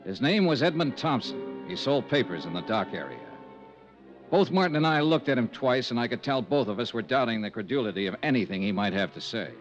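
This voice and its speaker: An actor playing a sardonic, probing insurance investigator in a 1950s radio detective drama, wry and clipped. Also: male voice, medium pitch, lively intonation, brisk, faint music behind, lightly acted. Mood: wry narrating. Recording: muffled old radio recording.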